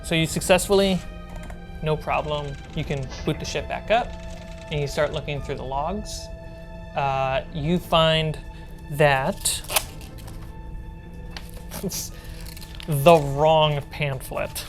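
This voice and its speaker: Low-pitched woman running a tabletop game. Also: music in the background. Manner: informal, halting descriptions. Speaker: game master. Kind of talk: narrating a tabletop role-playing game.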